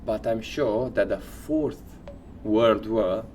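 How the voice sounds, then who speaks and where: Male podcast co-host, unhurried and halting, medium pitch, informal talk, faint background noise. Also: a little mellow. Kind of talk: casual chat, sharing odd facts.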